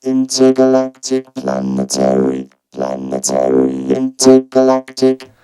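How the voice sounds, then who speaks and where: A man speaking through a vocoder as a flat synthetic robot, his words carried on keyboard synth notes. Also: mid-range voice, very slow, gravelly, music in the background, full character voice. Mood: robotic, flat. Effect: vocoder robot filter over synth notes. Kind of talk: slow demonstration phrases on keyboard notes.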